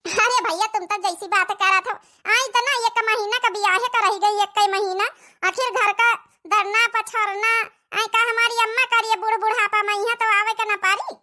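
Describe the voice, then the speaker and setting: A woman's voice: very high-pitched, fairly even intonation, normal speed, sharp tone. A woman voicing a fretful, talkative cartoon village mother-in-law with a rural Awadhi accent and dramatic, repetitive chatter. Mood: bustling. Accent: Awadhi, rural north India. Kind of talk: cartoon comedy dialogue.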